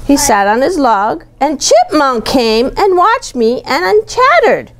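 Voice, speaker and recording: A woman's voice: high voice, takes it slowly. A woman reading a picture book aloud to a young child, expressively, with simple explanations and questions along the way. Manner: animated, simple child-directed phrasing, often repeating and asking questions.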